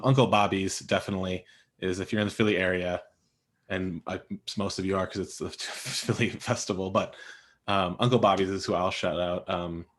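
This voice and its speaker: A man with a distinctly low voice and an American accent. He moderates an online book panel in a casual, conversational style. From Scranton, Pennsylvania, United States. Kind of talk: casual event hosting. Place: remote online event.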